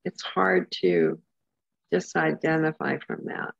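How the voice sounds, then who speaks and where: A woman's voice: low voice, sing-song, slow pace, gravelly, breathy edge, dry recording, highs cut off. A woman in a casual group chat over a video call, joking and laughing often.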